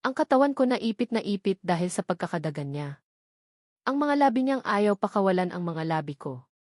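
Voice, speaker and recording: Filipino woman, audiobook narrator, dramatic storytelling, mid-pitched voice, dry sound. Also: very animated, moderate pace, a little mellow, no room sound. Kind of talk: scripted first-person romance novel narration.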